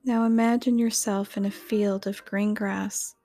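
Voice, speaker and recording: A woman reading a scripted guided meditation in a soothing, gentle, measured manner. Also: medium pitch, level tone, no room sound, clean studio sound.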